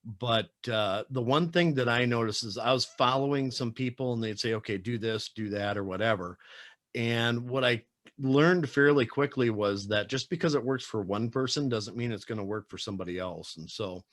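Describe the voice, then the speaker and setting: A man's voice: medium pitch, moderate pace, fairly bright tone, no echo, studio quality. A man in his forties hosting an interview over a video call, talking in an easygoing, reflective way with fillers. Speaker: podcast host. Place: home room with bookshelves. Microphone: webcam on a video call.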